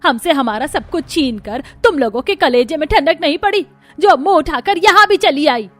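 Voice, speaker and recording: A woman's voice: sits high, animated, moderate pace, soft background music. A woman voicing a bitter, suspicious middle-aged aunt in a cartoon story, accusing and sarcastic. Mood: angry accusing. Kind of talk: Hindi cartoon story acting.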